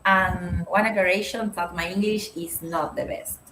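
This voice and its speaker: A young Argentine woman speaking halting, non-native English with a Spanish accent, answering interview questions on a video call and laughing often. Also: on the low side, steady tone, a bit bright, echoey room, a little hiss or hum, poor audio. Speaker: guest speaker.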